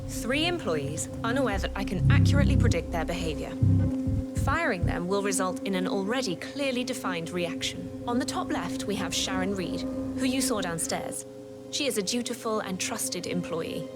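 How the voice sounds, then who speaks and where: Actress as self-assured female tech executive for a game: smug, measured, articulate, loud music underneath. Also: medium pitch, lively intonation, natural voice. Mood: smug, persuasive. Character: confident, ambitious female tech chief pitching her invention. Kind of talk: scripted video game dialogue.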